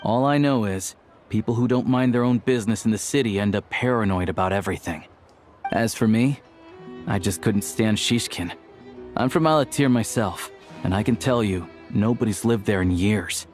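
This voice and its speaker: A man plays a guarded, quietly kind villager in a video game. His voice sits fairly low and stays understated and matter-of-fact, over soft background music. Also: normal speed, lightly acted. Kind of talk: video game cutscene acting.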